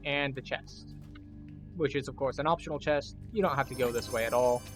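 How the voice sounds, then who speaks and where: A man's voice: medium pitch, brisk, over music. A man giving a casual walkthrough commentary as he plays a video game. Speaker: gameplay guide and streamer.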